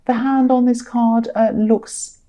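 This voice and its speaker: A woman talks through a tarot reading straight to her viewers, earnest and gentle, often repeating a phrase to drive it home. Her voice is tired and a little croaky. The tone is soft and mellow, without brightness. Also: fairly high pitch, flat delivery, slow pace. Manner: gentle, earnest, many ums and repetitions. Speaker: tarot reader. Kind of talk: casual spoken tarot reading.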